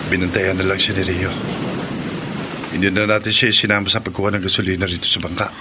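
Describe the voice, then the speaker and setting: Obedient Filipino nephew played by a man, loud noise. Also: deep voice, level tone, quick pace, natural voice. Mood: respectful. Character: obedient young nephew helping his aunt. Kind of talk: Filipino horror radio drama acting. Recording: loud noise behind radio drama.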